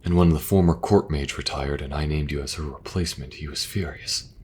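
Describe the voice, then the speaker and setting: A man playing a weary, dutiful king in a fantasy audio roleplay, with a grave, deliberate, regal manner. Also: very deep voice, a little mellow, a little hiss or hum. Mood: grave, bitter.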